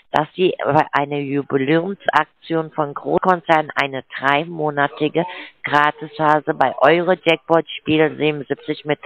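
A woman's voice: low voice, no echo. A woman making a pushy scam sales call over the phone, growing rude and insulting. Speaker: scam caller. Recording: dull phone line.

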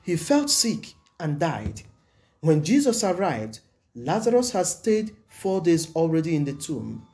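Male priest, scripted homily, solemn formal delivery, soft mellow tone, animated pitch movement, music underneath. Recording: music behind the voice. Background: background music.

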